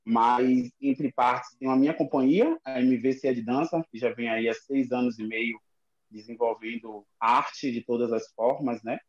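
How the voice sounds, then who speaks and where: A man with a Bahian Brazilian accent speaks casually as a guest. His pitch is average. The sound is dull and lo-fi. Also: fairly even intonation, a little slow. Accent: Bahian Brazilian Portuguese. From Lauro de Freitas, Bahia, Brazil. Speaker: guest, dancer and company director. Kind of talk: casual spoken introduction in an online conversation. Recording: dull lo-fi online audio.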